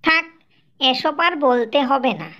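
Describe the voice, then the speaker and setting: A woman voicing a sharp-tongued, exasperated young village wife in a Bengali cartoon, scolding and sarcastic. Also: sits high, takes it slowly, dull audio, full character voice.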